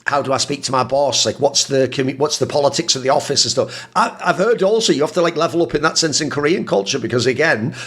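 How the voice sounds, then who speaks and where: A man hosting a casual interview, talking quickly and animatedly, swearing casually and calling his guest "bro". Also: medium pitch, fairly bright tone. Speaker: interview host.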